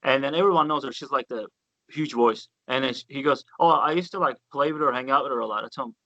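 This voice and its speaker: A man with a Turkish accent talks bass playing with excited, story-driven energy. His voice is bright and forceful, recorded dry and close with a slightly dull, muffled sound. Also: fast talker, no echo. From Turkey. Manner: enthusiastic storyteller, loose grammar, swears casually. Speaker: guest bassist. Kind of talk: casual interview chat.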